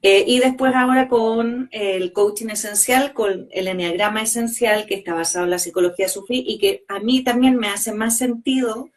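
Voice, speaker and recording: A woman in her forties from Chile with a Chilean accent, a guest psychologist and coach talking casually and thoughtfully, pausing often with fillers. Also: on the high side, fairly even intonation. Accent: Chilean Spanish. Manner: thoughtful, rambling, many hesitations. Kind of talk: casual interview conversation.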